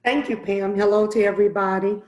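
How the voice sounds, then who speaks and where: A woman in her fifties with a raspy voice, introducing her music master class with enthusiasm in an online presentation. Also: mid-range voice, steady tone, a little fast, a little mellow, roomy sound, poor audio. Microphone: video call.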